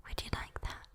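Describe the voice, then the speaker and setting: A British woman playing a sweet, teasing, possessive female demon in a breathy, intimate ASMR roleplay whisper. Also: fairly high pitch, a little mellow, exaggerated voice.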